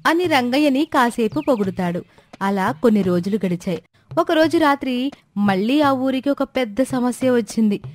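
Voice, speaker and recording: Higher-pitched woman narrating stories. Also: animated, normal speed, no echo. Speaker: story narrator. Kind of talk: scripted moral-story narration. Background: music.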